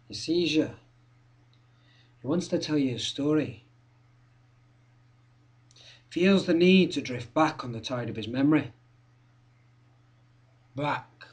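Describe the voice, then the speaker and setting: An actor performing a theatrical monologue as an obsessive, confrontational young male skinhead who relives his violent past. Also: mid-range voice. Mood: hushed, brooding. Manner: obsessive, confrontational, repetitive, swinging from hushed to shouting. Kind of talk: theatrical dramatic monologue, direct address. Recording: roomy echo, distant microphone.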